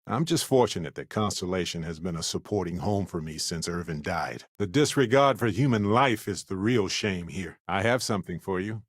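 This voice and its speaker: Give this game companion the voice of a thoughtful male space explorer with lively, swooping intonation. He talks in an articulate, conversational way with a dry wit. The sound is close and dry, with no room around it. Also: sits low, slightly rough, no echo. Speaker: video game voice actor. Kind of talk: scripted game dialogue lines.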